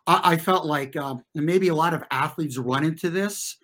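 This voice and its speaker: A man's voice: a little high, a bit bright. Middle-aged American man reflecting in conversation. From US, New York and New Jersey. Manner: informal and halting, circling back to rephrase. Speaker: interview guest, former competitive swimmer.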